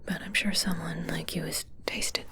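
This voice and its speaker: A woman playing a curious, callous witch who tests her potions, in a slow, breathy whisper for an ASMR roleplay. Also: low voice, fairly even intonation, bright, forceful tone, a little hiss or hum, full character voice. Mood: sly curiosity.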